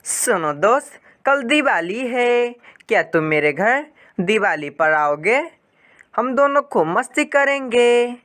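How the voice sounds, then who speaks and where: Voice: mid-range voice, sing-song, slow pace, fairly bright tone, pitched above the performer's own voice. A narrator voicing a friendly, generous young boy bird from a rich family in a cartoon story. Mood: cheerful.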